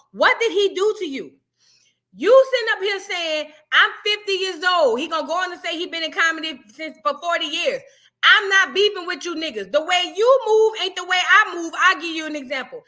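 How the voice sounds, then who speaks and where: A woman's voice: very high voice, sharp tone. A woman giving blunt, questioning commentary as she reacts to a clip.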